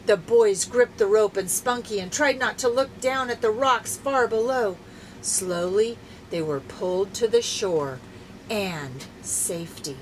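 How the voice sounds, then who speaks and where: Older woman, grandmother storyteller, leisurely pace, expressive children's book reading. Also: medium pitch, a little hiss or hum, poor audio. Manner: animated, dramatic, deliberate storytelling.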